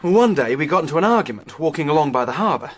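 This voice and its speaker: A young aussie rich kid, husky, highish voice, breezy and slangy, radio play. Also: male voice, animated, fast talker. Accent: Australian. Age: young adult. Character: brash, eager young wealthy Australian amateur criminologist. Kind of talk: radio drama acting.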